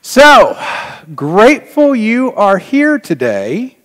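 Slow, lively-pitched pastor in an echoing church. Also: male voice. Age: middle-aged. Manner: folksy, dryly joking, with long pauses. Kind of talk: informal address to a congregation. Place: large church sanctuary, indoors. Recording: reverberant church hall.

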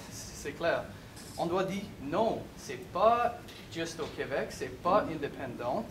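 Halting young man with an English accent. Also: on the high side, animated. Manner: halting, searching for words. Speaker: audience member. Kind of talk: hesitant question from the floor. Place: large lecture room. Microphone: far camera. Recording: echoey room from far off, background noise.

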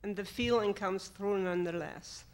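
A woman in the audience asking a question and making comments from the floor, with an uneven, deliberate pace. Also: medium pitch.

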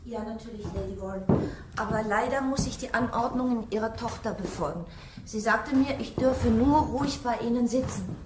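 An actress playing an anxious, polite young servant in a German radio play, hesitant and deferential. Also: female voice, a little high, moderate pace, natural voice. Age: young adult. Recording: echoey room, clear background noise.

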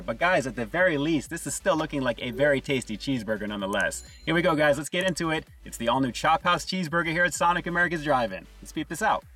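A man in his forties reviewing fast food from inside a parked car, upbeat and enthusiastic, talking to viewers. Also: on the high side, a bit bright, distant mic. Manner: upbeat, enthusiastic, often says "guys".

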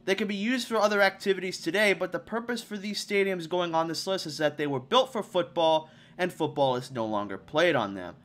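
Bright-voiced, higher-pitched man narrating a video. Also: brisk, hardly any pauses. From Tennessee or southwest Virginia region. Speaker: host and narrator. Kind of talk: scripted documentary-style voice-over narration.

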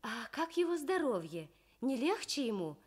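A woman playing a patient, firm mother with measured, gently probing speech in a children's radio story. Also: sits high, sing-song. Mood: polite.

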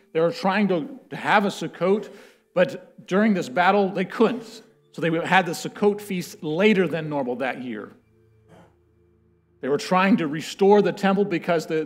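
A man in his thirties preaching from a church stage, telling history with lively, building emphasis. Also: fairly high pitch. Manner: animated storytelling, building to loud chanted quotes. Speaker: pastor. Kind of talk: sermon. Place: church stage with a lectern, large indoor room.

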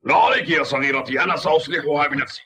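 An actor playing a kindly old grandfather in a dubbed children's cartoon, with a gentle, reassuring manner. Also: on the high side, very fast, breathy edge, exaggerated voice. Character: gruff, kindly old grandfather living in the mountains.

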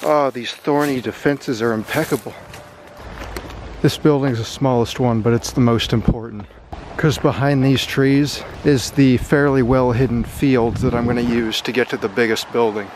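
A man narrating his own exploration of abandoned buildings in a dry, wry, deadpan way, filmed on a handheld camera. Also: mid-range voice, moderate pace, noisy background. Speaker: urban explorer vlogger. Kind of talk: casual solo exploring commentary. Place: abandoned buildings and overgrown fields, indoors and outdoors.

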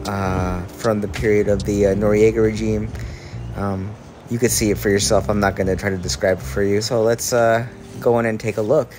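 Young man vlogging casually, music behind. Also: on the low side. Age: young adult. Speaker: travel vlogger. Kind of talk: casual vlog narration. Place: outdoors, on trails and streets. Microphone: handheld phone at arm's length.

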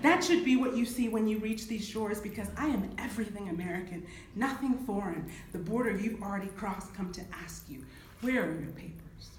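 A woman's voice: mid-range voice, brisk, slight background noise. A woman in her thirties from Nigeria performing a spoken word poem at a lectern, rhythmic and emphatic, recorded from a camera across a small room. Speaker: poet. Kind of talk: spoken word poetry reading. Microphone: far camera. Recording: echoey room.

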